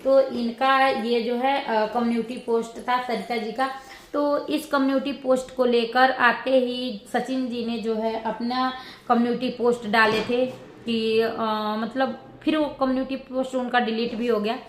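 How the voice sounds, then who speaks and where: A woman giving casual reaction commentary to her viewers, rambling and halting as she circles back over her points. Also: on the high side, fairly even intonation. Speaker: vlogger. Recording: roomy echo with background noise.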